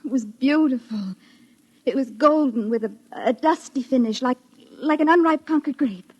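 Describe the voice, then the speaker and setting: A weary, lonely young woman in a vintage radio drama. Her voice is fairly high and husky, with a halting, haunted delivery that sounds fragile. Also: a little mellow, muffled recording. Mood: hushed wonder. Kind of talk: old-time radio drama acting.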